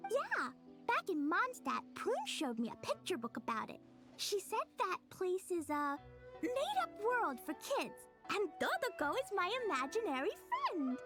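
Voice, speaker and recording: A woman's voice: very high voice, wildly swooping pitch, unhurried, pushed and bright, heavily acted. A woman voicing a cheerful little girl who loves bombs in a video game, sweet and sing-song, with game music behind. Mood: cheery.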